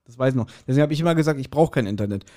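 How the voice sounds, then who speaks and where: A man chatting casually as a podcast host, telling anecdotes in an animated way and acting out quotes. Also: mid-range voice, racing. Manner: animated retelling with acted-out quotes. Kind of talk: casual chat, anecdotal storytelling.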